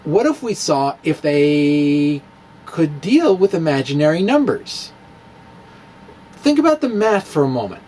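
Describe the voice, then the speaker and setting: A man's voice: fairly high pitch, sing-song, unhurried, darkish tone, slight background noise. Wry man demonstrating math with clay. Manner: wry, self-mocking, chuckles at own jokes. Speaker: physics blogger demonstrating math. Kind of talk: playful demonstration with crafts.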